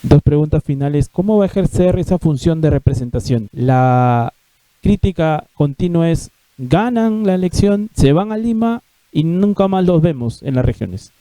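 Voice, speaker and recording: A man's voice: fairly high pitch, expressive, moderate pace, warm tone, no room sound. A man who hosts a political interview, asking probing questions and frequently murmuring acknowledgements. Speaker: interviewer host.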